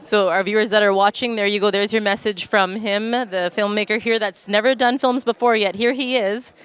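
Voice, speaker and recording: A woman's voice: mid-range voice, steady tone, fairly quick, a bit bright, clean tone. A woman interviewing guests casually at a festival for television, with an effusive, encouraging manner.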